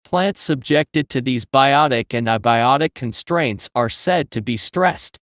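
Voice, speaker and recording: A man reading an academic passage aloud in a measured, scripted style. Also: medium pitch, expressive, fairly bright tone, no echo, clean studio sound. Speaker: test-prep passage reader.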